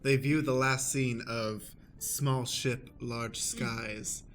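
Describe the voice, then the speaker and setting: A man's voice: medium pitch, fairly even intonation, drawn-out words, fairly bright tone, soft background music. A man working as narrator and host of an improvised radio play, reading stage directions and announcements.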